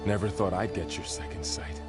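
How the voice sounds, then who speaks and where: A man playing a loyal, steady warrior companion in a video game, plainspoken, earnest and curious. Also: low voice, a little fast, natural voice. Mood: curious, wry. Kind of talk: video game cutscene and exploration dialogue. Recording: cinematic game music behind. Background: game music.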